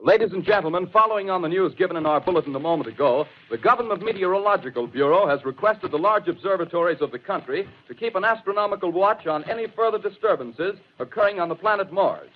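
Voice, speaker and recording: An urgent radio news announcer. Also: male voice, high voice, a little hiss or hum. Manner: formal, urgent bulletin delivery. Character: crisp male radio news bulletin announcer. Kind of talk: 1930s radio drama acting. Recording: muffled old radio recording.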